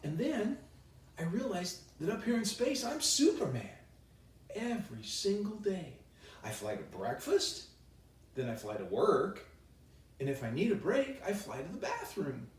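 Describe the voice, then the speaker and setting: A man reading a children's bedtime story aloud in a playful, expressive way with chatty asides. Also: high voice, measured pace. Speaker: children's author reading his own book. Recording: roomy echo, distant microphone.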